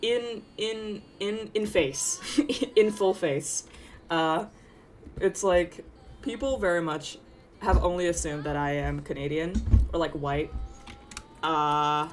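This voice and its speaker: Low-voiced chatty young woman streamer. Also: takes it slowly, a little hiss or hum. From Taiwan. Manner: rambling, chatty, self-interrupting with tangents and laughs. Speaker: VTuber streamer. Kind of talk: casual livestream chat while working. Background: faint background music.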